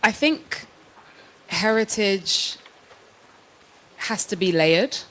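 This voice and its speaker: A woman's voice: medium pitch, expressive, extremely slow, fairly bright tone, poor audio. A young woman from London with a London accent, speaking thoughtfully and frankly as a guest on a panel in front of a live audience. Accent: British, London. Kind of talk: panel discussion.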